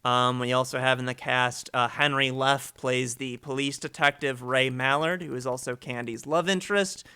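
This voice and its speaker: A man's voice: mid-range voice, steady tone, moderate pace, hardly any pauses, sharp tone, smooth. A man hosting a livestream with informal, enthusiastic chat and introductions. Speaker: host of a listening livestream.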